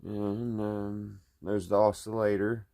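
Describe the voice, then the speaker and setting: Low-voiced folksy man. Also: flat delivery, takes it slowly, mellow. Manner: folksy, thinking aloud while working. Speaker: hobbyist radio repairer, video host. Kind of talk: casual unscripted hands-on repair vlog. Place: indoors at a workbench.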